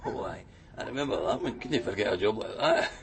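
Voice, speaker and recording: An actor playing a chatty, wistful Glasgow tattooist in a radio crime drama, rambling colloquially with a Scottish accent. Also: male voice, fairly low pitch, animated, a little fast, creaky, a little hiss or hum. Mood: chatty reminiscing. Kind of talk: naturalistic radio drama acting.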